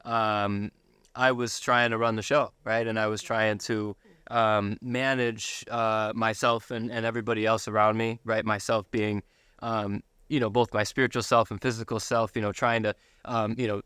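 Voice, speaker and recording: An American man talking casually as an interview guest, sharing personal testimony in a halting way, repeating words and checking for agreement. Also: lowish voice, flat delivery, sharp tone, clean tone, no echo. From Buffalo, United States.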